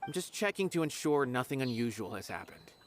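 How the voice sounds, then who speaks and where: A young adult man voicing an earnest game character who is quick to protest, speaking over soft game music. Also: medium pitch, very animated, close to an everyday voice. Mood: questioning.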